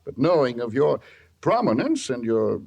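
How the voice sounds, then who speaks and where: An actor playing a stern, shrewd judge with a gravelly voice, admonishing in measured old-time radio drama style. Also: male voice, medium pitch, very animated, darkish tone, muffled recording, exaggerated voice. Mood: dry, admonishing.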